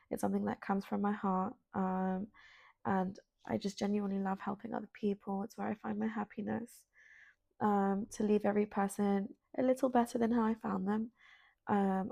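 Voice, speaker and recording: A woman born in London with Spanish as her first language, hosting a solo spiritual podcast in a soft, hesitant, earnest way with many ums. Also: medium pitch, gravelly, no room sound. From Colombian, born in London. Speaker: podcast host. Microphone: self-filmed at home.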